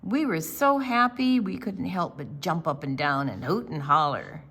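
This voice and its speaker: A woman with a fairly low voice reads a children's picture book aloud. She sounds like an older storytime reader, telling the story simply for young listeners. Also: measured pace, a bit bright, slightly rough.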